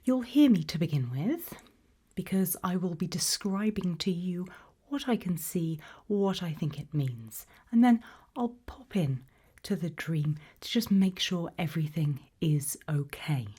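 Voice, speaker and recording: A British woman whispering gently in an ASMR roleplay as a calm, attentive sleep clinic practitioner, reassuring and soothing. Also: fairly low pitch, unhurried. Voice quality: breathy, whispered.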